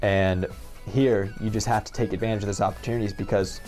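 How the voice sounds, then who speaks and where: A man gives an explanatory interview answer in a somewhat low voice. He speaks deliberately in broken phrases over faint instrumental music. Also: fairly even intonation, darkish tone.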